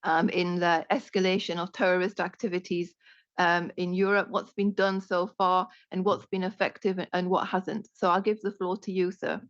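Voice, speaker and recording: A woman's voice: lowish voice, level tone, moderate pace, no room sound. A woman moderating a policy dialogue, introducing speakers politely with many ums and uhs. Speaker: panel moderator. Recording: dull, dry conference audio.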